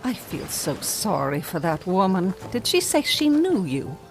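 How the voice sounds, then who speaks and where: An actress playing a cautious, sympathetic wife in a radio drama. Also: female voice, fairly low pitch, big pitch swings, unhurried, soft background music. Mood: concerned.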